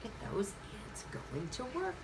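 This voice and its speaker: A woman hosting a children's story time, reading interactively in a sing-song way and pausing after questions as if for answers. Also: medium pitch, huge pitch swings, noisy background. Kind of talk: interactive picture-book reading for young children.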